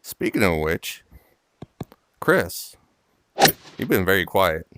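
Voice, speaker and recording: Deep-voiced man cracking crude jokes. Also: very animated, slow, a bit bright, dry recording. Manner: crude joke-telling, laughs often. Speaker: host and golf player. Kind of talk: casual gaming banter.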